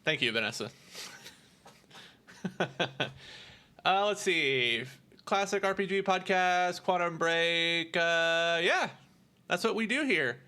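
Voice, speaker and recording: A man's voice: high-pitched, expressive, slow, long pauses, pushed and bright, clean tone. A man hosting a gaming podcast in a laid-back chatty way, laughing easily. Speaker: podcast host. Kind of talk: casual chat.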